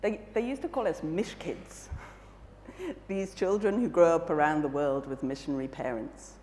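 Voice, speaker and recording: A woman's voice: low voice, moderate pace, a little mellow, a little hiss or hum. A jet-lagged woman with a mixed British and South African accent, giving an earnest, reflective conference talk full of fillers and restarts. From Malawi. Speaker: keynote speaker. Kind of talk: conference presentation. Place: large conference hall on stage. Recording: echoey hall, faint ambience.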